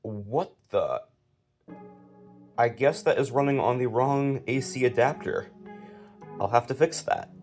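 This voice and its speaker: A man's voice: medium pitch, unhurried, long pauses, loud music behind the voice. A young American man giving a casual, informal, matter-of-fact walk-through of a personal collection. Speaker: collector presenting own collection. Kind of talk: casual collection showcase narration.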